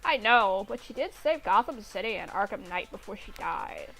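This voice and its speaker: A woman's voice: mid-range voice, lively intonation, moderate pace, creaky, pushed and bright, soft background music, rough recording. A woman voicing a loyal, protective schoolgirl with magic powers in a story reading. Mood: chatty. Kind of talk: amateur story character reading.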